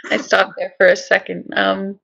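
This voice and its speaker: A woman introducing herself on a webinar from a rural home, relaxed and hesitant with fillers. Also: medium pitch, moderate pace, vocal fry, breathy edge. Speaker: co-founder presenting on a webinar. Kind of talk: casual self-introduction. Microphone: video call from a rural home. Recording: lo-fi online call audio.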